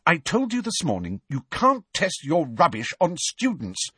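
A bossy, righteous teenage schoolgirl acted out by a man scolds in clipped, forceful phrases. The voice is pitched high, husky and very animated, as in a storybook reading. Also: normal speed, no echo. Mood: stern, furious.

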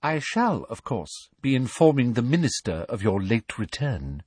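A man reading aloud, voicing a prim, cold woman official with a falsely sweet, high manner. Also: sing-song, unhurried, darkish tone, slightly rough, no echo.

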